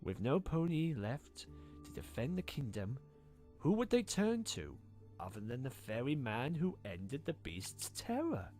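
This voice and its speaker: A man's voice: medium pitch, huge pitch swings, a little slow, darkish tone, faint music behind. A man voicing a scheming, cruel villain pony in a cartoon, theatrical and taunting as he savors his words. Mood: gloating. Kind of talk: animated fan drama villain acting.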